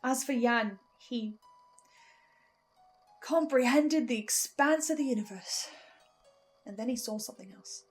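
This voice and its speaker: High-voiced, dramatic woman host. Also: a little slow, long pauses. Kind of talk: scripted lore analysis with theatrical asides. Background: faint music.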